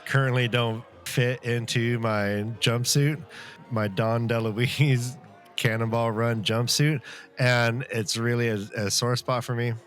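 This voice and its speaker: Mid-pitched man, wry podcast co-host. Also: steady tone, slow, a bit bright, soft background music. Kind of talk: casual comedic banter and book discussion.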